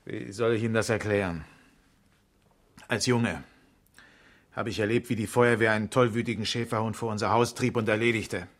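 Male actor for defensive interviewee in 1970s radio drama, lowish register, hesitant, guarded, wry. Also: fairly even intonation, normal speed, long pauses, natural voice. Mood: haunted, agitated. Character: defensive, uneasy male engineer questioned by police. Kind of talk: 1970s German radio crime drama acting.

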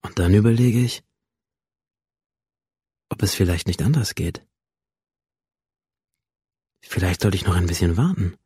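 An actor playing a brooding, detached male school student in an introspective, measured first-person radio drama monologue, with a low, husky voice. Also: mellow, no echo, studio quality, full character voice. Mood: brooding, hesitant.

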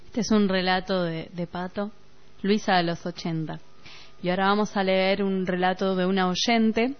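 A woman's voice: mid-range voice, fairly even intonation, normal speed. A woman chatting casually as a radio host. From Argentina. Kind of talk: casual on-air chat. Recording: dull lo-fi radio recording.

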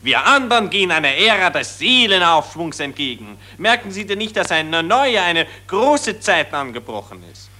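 Brief: preachy patriotic optimist, bright and forceful tone, a touch high. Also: male voice, big pitch swings, normal speed, few pauses, full character voice. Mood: lofty. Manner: solemn, preachy, high-flown. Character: pompous patriotic male optimist, lecturing.

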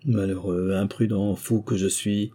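A man voicing a blustering male wind spirit from a storybook, with a gruff big voice. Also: mid-range voice, animated, a little fast, dark tone, voice pushed up. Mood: pleading. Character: blustering giant wind spirit, booming, then pleading. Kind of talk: storybook character voice.

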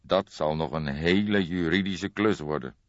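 A man voicing a weary, reflective old detective who speaks in a deliberate, solemn way in an audiobook. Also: deep voice. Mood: grave. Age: older. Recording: boxy, echoey, dull recording.

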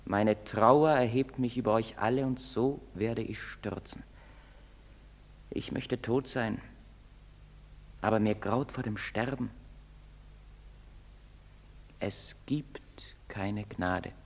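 An actor playing a bitter, despairing young outcast in a German stage drama, declaiming in insistent short phrases. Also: male voice, medium pitch, gravelly, a little mellow. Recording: reverberant theatre stage recording.

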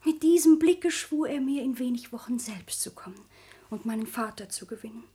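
An actress playing a lovesick, impatient young granddaughter in a theatrical radio comedy, with sighing, exclamatory delivery. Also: female voice, on the high side, normal speed, dark tone, slightly rough. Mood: yearning, pouting. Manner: exclamatory, sighing, speaks old-fashioned stage German.

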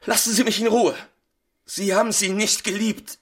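An actor playing a grief-stricken, stubborn widower in a German radio drama, his voice husky and creaky. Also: high voice, little pitch movement, moderate pace, a bit bright. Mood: defiant anguish.

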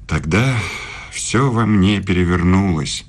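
A defensive, proud old male professor in a radio play. His voice sits low and has a husky rasp. He argues his own case in measured phrases. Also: lively intonation, heavily acted. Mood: proud, stubborn. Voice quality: deep, husky.

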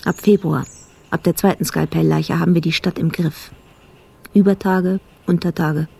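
Husky, gravelly female investigator. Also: lowish voice, fairly even intonation, moderate pace, mellow. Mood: sober, reporting. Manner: clipped, factual, report-like delivery. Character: sober female police investigator recounting a murder case. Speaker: actor in radio play. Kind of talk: scripted radio drama narration.

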